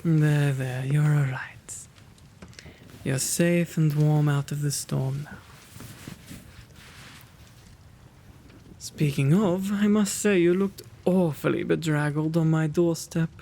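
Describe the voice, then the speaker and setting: Kindly vampire lord of the manor, played by a man, ASMR roleplay: genteel and quaint, slow delivery, gravelly creak. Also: a little high, fairly even intonation, dark tone, a little hiss or hum. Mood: soothing. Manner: genteel, old-fashioned, fussing and flustered diction. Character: kind, quaint gentleman vampire, lonely host of old mansion.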